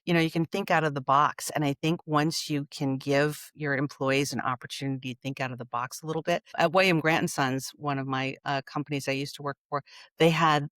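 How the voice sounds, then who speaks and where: A woman's voice: sits low, steady tone, fairly quick, a bit bright, no echo. Woman with a Californian accent, dry sound. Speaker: podcast guest, culture strategist. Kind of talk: casual interview conversation.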